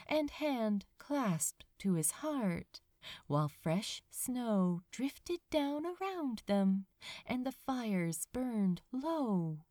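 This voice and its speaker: A woman narrating a scripted story expressively, in a tender, unhurried storytelling manner with deliberate pauses. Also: mid-range voice, mellow, dry recording, clean studio sound. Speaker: audiobook-style fan fiction reader.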